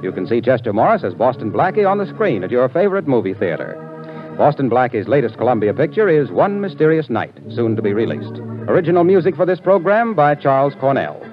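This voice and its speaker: A man's voice: medium pitch, very animated, brisk. An American man reading scripted announcements in 1940s radio style. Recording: muffled 1940s radio broadcast. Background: music.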